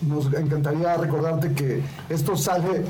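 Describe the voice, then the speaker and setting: A man co-hosting a sales podcast, talking in a relaxed, friendly way. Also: fairly high pitch, moderate pace, a little hiss or hum, low-quality recording. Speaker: podcast co-host. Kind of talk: podcast interview.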